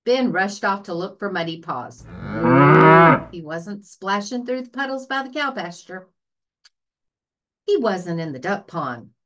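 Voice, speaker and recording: Slow, animated woman storyteller. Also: medium pitch. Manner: expressive storytelling with deliberate pacing. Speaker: librarian reading children's picture books aloud. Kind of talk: read-aloud children's story narration.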